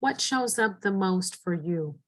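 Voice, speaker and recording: A middle-aged woman educator reads training slides in a steady, earnest voice at a mid pitch. She guides listeners through reflection prompts with a plain instructive delivery. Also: measured pace. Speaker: educator and presenter. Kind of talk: scripted training narration.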